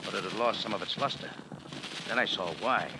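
A tough American hard-boiled hero played by a male actor in an old radio drama. He rattles off slangy, sardonic lines at a fast clip, and the vintage recording is very noisy. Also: on the low side, close to an everyday voice. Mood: brisk, intent. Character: tough, wisecracking male Cairo bar owner turned sleuth. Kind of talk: 1940s radio acting.

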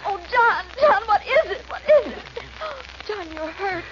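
An actress playing an anxious, dramatic wife in a 1940s radio drama. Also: female voice, very high voice, very animated, unhurried, full character voice. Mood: panicked, distraught. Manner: dramatic, emotional. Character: anxious wife fretting over her husband. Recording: noisy, muffled vintage radio recording.

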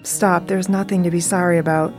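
A woman's voice: lowish voice, steady tone, a little fast, darkish tone, close to an everyday voice. Audio drama role, played by a woman: female survivor, protective and earnest, natural delivery over music. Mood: gentle, firm. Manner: earnest, reassuring. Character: steady, protective woman survivor consoling her companion.

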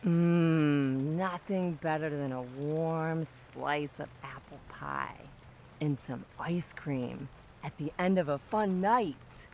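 A woman's voice: deep voice, takes it slowly, darkish tone, a little hiss or hum. An actress playing a teasing, self-assured young woman, a protective friend, in an audio drama. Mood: languid. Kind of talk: audio drama acting, conversational.

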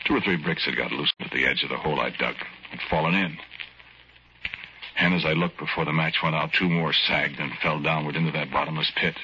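Gruff tough-guy narrator, super deep voice, hissy muffled old radio. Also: steady tone, a little fast, sharp tone, full character voice. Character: hard-bitten male crook narrating his ordeal. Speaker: narrator and lead. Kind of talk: 1940s radio drama narration. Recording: dull lo-fi old radio with hiss.

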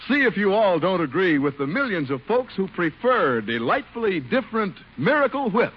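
A man's voice: high-pitched, sing-song, moderate pace, background noise. A man reading scripted ad copy and narration as a 1950s radio announcer. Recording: muffled 1950 radio recording.

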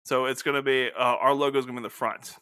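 A man's voice: medium pitch, level tone, fast talker, pushed and bright, smooth, no echo. A man co-hosting a podcast, chatting casually and jokingly in an informal way. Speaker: podcast co-host. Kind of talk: casual banter.

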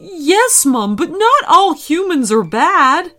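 A woman voicing a cheerful, playful little boy dinosaur in a storybook reading, talking in an excitable, bubbly way. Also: extremely high pitch, takes it slowly, soft background music, pitched above the performer's own voice, heavily acted. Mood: earnest. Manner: bubbly, excitable, stammers when upset.

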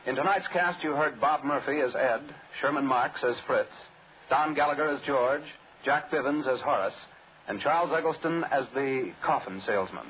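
A man's voice: mid-range voice, moderate pace. A man announcing in scripted 1940s radio style, reading formal programme credits. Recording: scratchy, tinny 1940s radio broadcast.

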